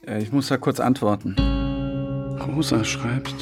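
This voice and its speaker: A man's voice: medium pitch, steady tone, a little slow, natural voice. An actor playing a brooding, troubled man in an intimate radio drama, with hesitant delivery. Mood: hesitant, subdued. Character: brooding, troubled husband drifting into an affair. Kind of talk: intimate radio drama dialogue and inner monologue. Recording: music under the voice. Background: music.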